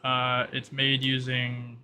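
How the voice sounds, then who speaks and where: A man's voice: medium pitch, completely flat delivery, takes it slowly, pushed and bright, clear, resonant tone. A man acting as game master, explaining options in a hesitant, improvised way over an online voice call. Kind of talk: improvised narration of an online tabletop roleplay.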